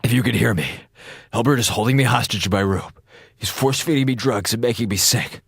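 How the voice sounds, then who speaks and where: An actor in a radio drama playing a sick, captive space station officer, sarcastic, rambling and frantic. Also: male voice, medium pitch, fairly quick, creaky, breathy edge, no echo, studio quality. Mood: desperate. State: feverish, coughing.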